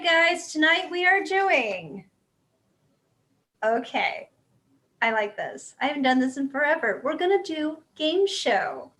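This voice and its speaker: A woman with a high voice hosts an improv show, swooping widely in pitch. She presents playfully to viewers, taking her time over the words. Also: a bit bright. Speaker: host.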